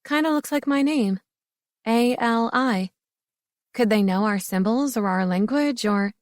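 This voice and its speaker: A woman voicing a young adult heroine, excitable and in love, speaking in a bright, breathless way. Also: fairly high pitch, unhurried, no room sound, clean studio sound, close to an everyday voice. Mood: excited.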